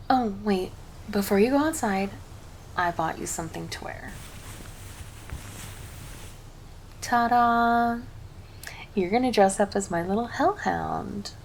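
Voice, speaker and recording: Succubus girlfriend, played by a woman: sweet, teasing, intimate ASMR delivery, mid-pitched with some fry, slight background noise. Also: rough recording. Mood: excited, playful. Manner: doting, teasing, speaking intimately to a partner. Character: cheerful, affectionate succubus girlfriend, playful and teasing. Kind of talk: ASMR roleplay, scripted monologue to the listener.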